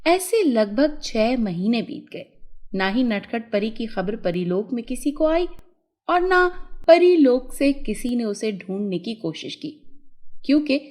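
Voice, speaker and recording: A woman narrating a scripted fairy tale for children in an expressive storytelling manner. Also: on the high side, slow pace.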